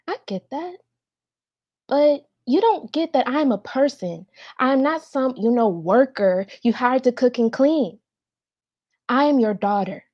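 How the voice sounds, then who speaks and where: A woman's voice: fairly high pitch, darkish tone, full character voice. A woman performing a dramatic monologue as a hurt, resentful daughter pleading with and accusing her mother, over slightly dull call audio.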